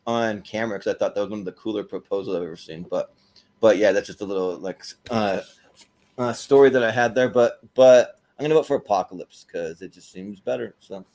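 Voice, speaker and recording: A man with a fairly low voice hosts a casual chat. He rambles along, halting and doubling back on his words as he hands the floor around. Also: darkish tone. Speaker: host of a panel show.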